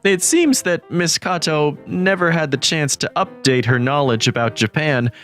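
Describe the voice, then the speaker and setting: A man voicing an earnest, lovestruck teenage student who narrates a scripted manga story in a measured way. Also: on the high side, a bit bright, lightly acted. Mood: earnest, reflective. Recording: background music under the voice.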